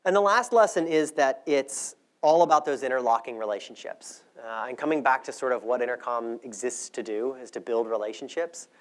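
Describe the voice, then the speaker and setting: Male conference speaker, medium pitch, thoughtful and conversational, explaining ideas to an audience. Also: a little fast, hardly any pauses.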